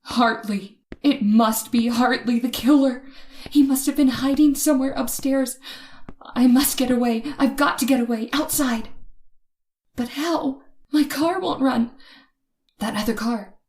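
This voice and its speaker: A woman's voice: high voice, a little slow, darkish tone. A woman playing a frightened woman motorist in an old-time radio horror drama, with tense, suspenseful, dramatic delivery. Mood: panicked. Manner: dramatic, breathy gasps and hesitant stammers when afraid. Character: frightened young woman motorist, tense, narrating her terror. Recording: noisy recording with ambient effects.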